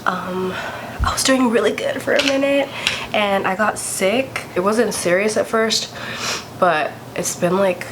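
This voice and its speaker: A woman's voice: mid-range voice, unhurried, noisy background. A young woman vlogger with a congested, stuffy voice, chatting candidly and swearing freely as she tells a story. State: congested from a cold. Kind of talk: casual storytime vlog.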